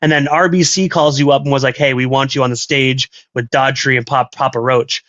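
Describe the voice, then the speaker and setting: A man's voice: medium pitch, steady tone, fairly quick, a bit bright, no room sound, highs cut off. A man hosting a casual radio interview, chatty and eager, asking long winding questions. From Canada. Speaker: radio host.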